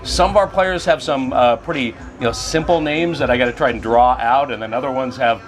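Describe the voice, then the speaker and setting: A middle-aged man with a mid-pitched voice answers interview questions casually. He talks as a stadium announcer in a conversational way, with music clearly playing behind him. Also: fairly quick. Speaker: stadium public address announcer being interviewed.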